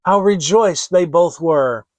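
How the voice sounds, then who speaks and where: Male storyteller for dramatic fairy tales: high voice, unhurried, expressive, close dry sound. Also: no echo. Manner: theatrical, expressive. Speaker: storyteller, audiobook reader. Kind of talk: dramatic scripted fairy tale narration.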